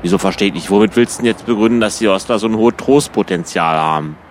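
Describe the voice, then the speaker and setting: Quick, lowish-voiced sceptical male actor as needling friend. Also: a little hiss or hum, close to an everyday voice. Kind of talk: casual bantering dialogue.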